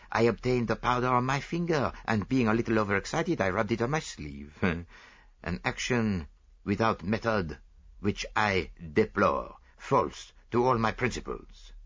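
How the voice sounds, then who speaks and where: A man's voice: on the low side, lively intonation, unhurried, fairly bright tone, breathy edge, muffled recording, exaggerated voice. A man voicing a vain, fussy little detective with precise, foreign-flavored phrasing, read as an audiobook character. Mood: coaxing.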